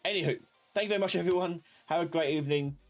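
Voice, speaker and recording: A man's voice: a little high, rapid-fire, faint music behind. A man hosting a live online class, talking informally and thinking aloud as he rambles through his points. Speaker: live class instructor and channel host. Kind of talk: casual live-stream tutorial talk.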